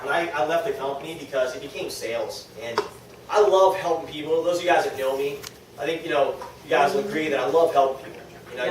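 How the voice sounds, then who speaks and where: A man with a New England accent gives an informal motivational talk to a small group, conversational and self-deprecating, often checking in with his listeners. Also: a little high. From New England, United States. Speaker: speaker at a direct-sales team meeting. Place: echoey indoor meeting room with an audience. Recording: very echoey room, distant mic, faint noise.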